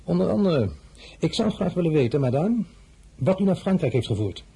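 An actor playing an imperious, suspicious emperor in a radio drama, commanding, formal and sarcastic. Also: male voice, a little high, sing-song, moderate pace, vocal fry, dark tone, slightly rough, heavily acted. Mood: curt, imperious. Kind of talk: Dutch radio drama dialogue.

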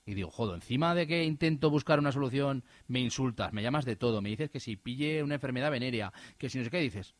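A man telling an animated, indignant personal story in casual radio chat, with many restarts. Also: medium pitch, fast talker, few pauses, dry recording. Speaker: radio host.

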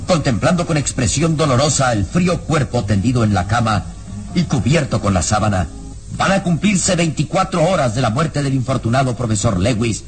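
A man narrating a scripted radio serial in a grave, solemn, deliberate dramatic style. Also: mid-range voice. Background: dramatic background music.

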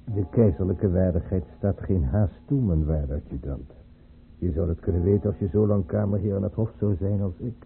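An actor playing a patient, cautious veteran court chamberlain in a radio play, dignified and patronizing. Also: male voice, low voice, normal speed, gravelly. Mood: amused, cautioning. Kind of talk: Dutch radio play acting. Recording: muffled, phone-like old radio play recording.

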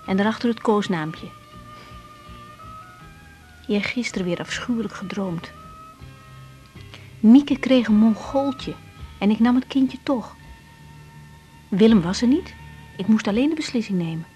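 A woman's voice: on the high side, animated, a little slow, long pauses, mellow, faint music behind, poor audio. An actress playing a wry, guarded young newlywed woman doctor in a radio drama. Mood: reflective. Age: young adult. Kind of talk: 1980s Dutch radio drama acting.

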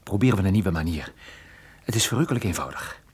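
A man playing a wry, stubborn private detective in a Dutch radio play, explaining things in a conversational, halting way. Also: low-pitched, lively intonation, darkish tone, husky, exaggerated voice. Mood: urgent, resolute. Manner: conversational, hesitant interjections, explanatory. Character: wry, stubborn male private detective, injured but determined.

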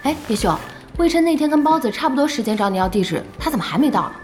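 A woman's voice: sits high, big pitch swings, fast talker, a little mellow. A woman playing a feisty, good-hearted internet cafe boss in an audio drama, blunt and exclamatory. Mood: placating.

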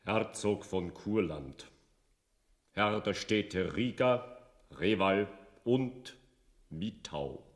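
A man's voice: on the low side, animated, extremely slow, pauses often. A man playing a solemn, stately duke in a radio play.

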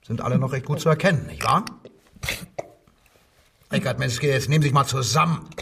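Casting: wartime police interrogator, male, husky, sardonic and insistent, radio crime drama, a little off the mic. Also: medium pitch, a little fast, bright, forceful tone, recorded from a distance, exaggerated voice. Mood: sardonic, goading. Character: male wartime German police detective, needling, coldly insistent. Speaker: actor.

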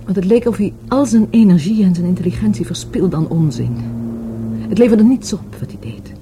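An actress playing a wistful, grieving lover in a radio play, hesitant and halting as she searches for words. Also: medium pitch, expressive, background noise, close to an everyday voice. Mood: wistful, earnest. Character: grieving, wistful lover of a murdered market trader. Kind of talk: Dutch radio play acting.

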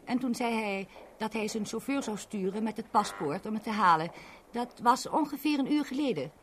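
An actress playing a polite, helpful post office clerk in a 1970s radio drama, courteous and a little hesitant. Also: female voice, on the high side, expressive, normal speed, a bit bright. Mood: helpful, explanatory. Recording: old radio recording, light noise.

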